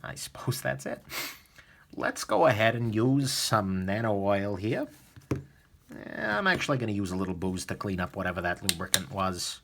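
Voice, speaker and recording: A man hosting a casual knife disassembly video, chatty and relaxed with lots of fillers, a little sniffly from a cold. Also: lowish voice, normal speed, a little hiss or hum. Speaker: knife reviewer, host. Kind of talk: casual tutorial narration.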